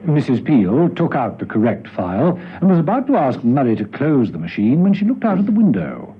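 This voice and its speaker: A man acting as the narrator of a spy radio serial, telling the story in a measured, dramatic way. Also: mid-range voice, huge pitch swings. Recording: dull, noisy old radio recording.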